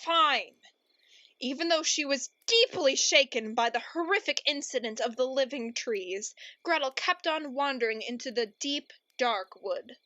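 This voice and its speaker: A woman's voice: sits high, lively intonation, a little slow, pushed and bright, dry recording, highs cut off, full character voice. A woman playing an exasperated, gloomy storybook narrator in a radio play, theatrical and stern. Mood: resigned drama.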